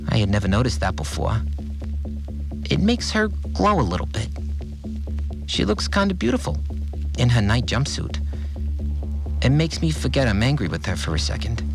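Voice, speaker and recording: An actor playing an anxious, obsessive cleaner who fretfully recounts events in short, plain sentences in an audio drama monologue. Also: male voice, medium pitch. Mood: puzzled, irritated. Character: anxious, obsessive male cleaner confined in a mysterious place. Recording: music bed under the voice.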